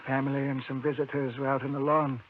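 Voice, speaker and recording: An actor playing a grave, weakened professor warning of doom in a solemn, formal 1950s radio drama style. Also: male voice, mid-range voice, flat delivery, quick pace, close to an everyday voice. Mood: weak, pained. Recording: tinny, crackly 1950s radio recording.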